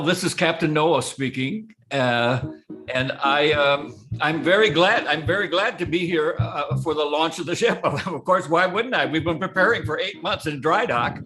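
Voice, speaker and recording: A man's voice: a little high, sharp tone. A man on a video call, joking and rambling as he introduces the next speaker. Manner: jokey and rambling.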